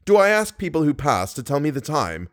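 A man's voice: fairly high pitch, sing-song, fairly quick, no room sound, clean studio sound, voice pushed up. A man voicing an unhinged male villain in an audiobook, self-pitying and menacing in a theatrical, erratic manner. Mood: self-pitying menace.

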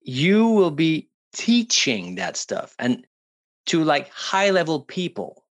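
A man from French Canada, whose first language is French, talking as a guest on an interview show in a jokey, animated way with some restarts. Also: on the high side, takes it slowly. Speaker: guest, public speaking coach. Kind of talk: casual interview talk. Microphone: studio headphones and mic.

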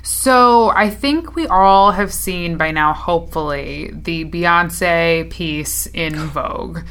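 A late-twenties woman with a slightly low voice chats casually on a podcast she co-hosts. She talks in loose, filler-heavy sentences and laughs often. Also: takes it slowly, a bit bright, a little hiss or hum. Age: 20s. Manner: chatty, lots of fillers and laughter. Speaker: podcast co-host. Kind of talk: casual chat.

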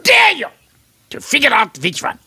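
Mumbling, shouting very old man, high, swooping, gravelly voice. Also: huge pitch swings, moderate pace, over a noisy crowd, heavily acted. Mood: croaky shouting. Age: over 60. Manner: shouts in cracking bursts, hard to understand. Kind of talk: improvised comedy sketch.

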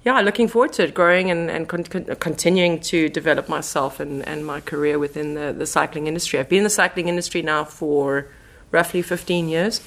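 A woman's voice: sits low, steady tone, fairly quick, fairly bright tone. A woman with a South African accent, chatting informally as a guest in a casual podcast interview. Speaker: interview guest, marketing manager.

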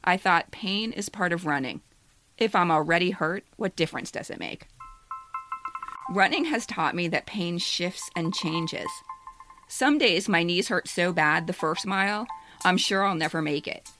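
A woman's voice: lowish voice, expressive, measured pace, pushed and bright, soft background music. A woman in her fifties reading a scripted personal story as a podcast co-host. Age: 50s.

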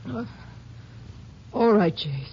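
An actress playing a grieving sheriff's widow in a 1950s radio drama, speaking softly and haltingly with a Texan accent. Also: female voice, medium pitch, expressive, slight background noise. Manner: hesitant, halting. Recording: muffled old radio recording.

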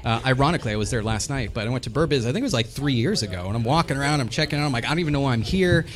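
A man's voice: mid-range voice, expressive, rapid-fire, a crowd in the background. A man hosting a podcast in casual, joking talk, sarcastic and teasing, with some swearing. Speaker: podcast host.

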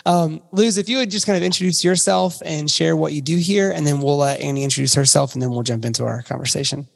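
A man co-hosting a podcast, asking questions in a friendly, relaxed way. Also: a little high, quick pace, gravelly, in a large hall. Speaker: podcast co-host. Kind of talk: podcast conversation.

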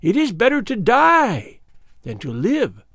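Storybook villain: ancient hag, high piping pitch, slow archaic mockery, false comfort over cruelty. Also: male voice, wildly swooping pitch, fairly bright tone, no room sound, clean studio sound, full character voice. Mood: mocking comfort. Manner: mocking, piping, drawn-out archaic speech. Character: cruel old hag, mocking, piping crone.

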